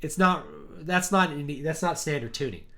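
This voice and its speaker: A man hosting a casual chat, talking in a rambling, animated way and acting out quotes, his deep voice worn because he is sick. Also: moderate pace. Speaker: livestream host. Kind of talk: casual chat about music.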